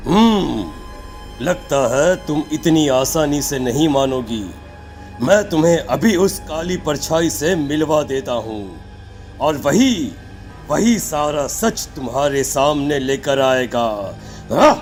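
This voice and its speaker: A man playing a stern, accusing tantric holy man in a horror cartoon, deliberate and commanding. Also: on the high side, lively intonation, a little mellow, soft background music.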